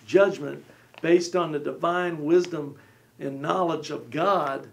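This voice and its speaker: A fairly high-voiced man in his forties, with a gravelly edge to his voice, talks about scripture from a small studio set. He gestures as he speaks and now and then acts out short quotes in little voices. His delivery is lively and conversational. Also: takes it slowly. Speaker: co-host. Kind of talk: animated Bible discussion.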